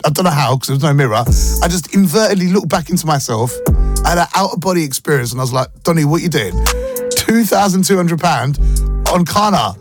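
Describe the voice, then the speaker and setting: Male morning radio host, slightly croaky, excitable and jokey, slangy chatter, fairly high voice, music playing underneath. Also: fairly quick. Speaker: radio breakfast show host. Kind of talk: casual chatty storytelling. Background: background music.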